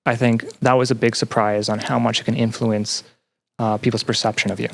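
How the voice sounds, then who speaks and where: A man's voice: fairly low pitch, little pitch movement, brisk, creaky. A young man chatting as a podcast guest, reflective and self-deprecating, often feeling his way through a thought. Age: young adult. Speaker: podcast guest, student representative. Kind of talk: casual interview chat.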